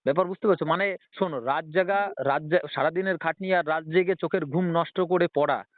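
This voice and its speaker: Young male caller, rapid, insistent, repetitive; thin phone-line audio. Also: on the high side, no room sound. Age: young adult. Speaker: job candidate calling on the phone. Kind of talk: argumentative phone conversation. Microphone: phone call. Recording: tinny, band-limited phone line.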